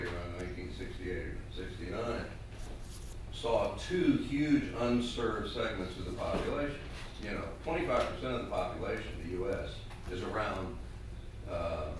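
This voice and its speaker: A man's voice: low voice, recorded from a distance. An older American man, a radio industry veteran, telling anecdotes in a conference talk. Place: conference room, picked up at a distance. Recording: echoey room from afar, loud noise.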